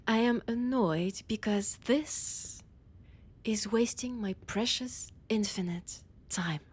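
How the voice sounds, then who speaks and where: A woman with a French accent playing an annoyed, condescending vampire scholar in a roleplay audio drama, often dropping into breathy whispers. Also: fairly high pitch, takes it slowly. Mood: condescending, exasperated. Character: annoyed, haughty female vampire scholar, ancient and hungry.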